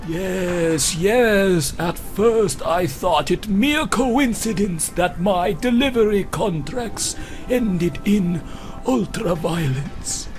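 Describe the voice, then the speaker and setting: A grandiose, morbid courier of death from a video game, pitched high for a man. He draws out archaic, theatrical lines very slowly and ominously, with faint music behind. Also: lively intonation. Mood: grandly ominous. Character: grandiose morbid wasteland courier who believes he is death's ferryman. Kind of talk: dramatic video game monologue.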